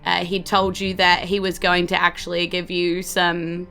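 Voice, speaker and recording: A woman's voice: on the low side, monotone, normal speed, creaky, sharp tone, soft background music. A woman with a New Zealand accent, chatting in a bubbly, welcoming way as podcast host and dungeon master. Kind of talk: chatty podcast hosting of a tabletop game.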